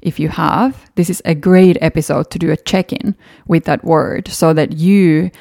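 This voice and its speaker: A woman's voice: deep voice, fairly even intonation, a little slow, gravelly, dark tone, no echo, studio quality. A woman with a Finnish accent, a native Finnish speaker, hosting a solo podcast and talking through past episodes in an earnest, encouraging way. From Finland. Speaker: podcast host.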